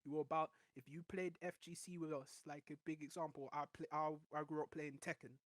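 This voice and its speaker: A man with a voice that sounds high on recordings, a podcast guest telling rambling, animated stories in casual chat. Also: quick pace, no echo. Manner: rambling, animated storytelling with restarts.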